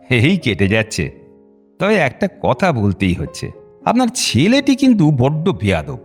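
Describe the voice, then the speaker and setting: A man's voice: mid-range voice, wildly swooping pitch, unhurried, breathy edge, heavily acted. An actor playing a genial, courteous middle-aged father in an audio drama, talking in a chatty way. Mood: jovial teasing. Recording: reverberant hall, light music bed.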